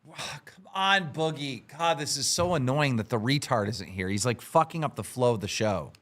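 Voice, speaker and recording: A man's voice: mid-range voice, lively intonation, moderate pace, a bit bright. A man hosting a livestream, casual and bossy, giving orders to his co-hosts. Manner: bossy and impatient. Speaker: livestream host.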